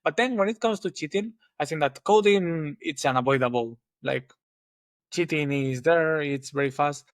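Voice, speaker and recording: A man with a Spanish accent, a guest on an interview podcast, thinking aloud in a relaxed way with many restarts. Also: fairly high pitch, normal speed, no echo, studio quality. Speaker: guest engineering manager. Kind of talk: casual interview chat.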